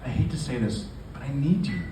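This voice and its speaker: A man's voice: medium pitch, expressive, brisk, close to an everyday voice. A man reading aloud the part of an anxious, hurt pregnant woman in literary fiction, emphatic and pleading. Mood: frightened, pleading. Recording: echoey live room, distant mic, noisy.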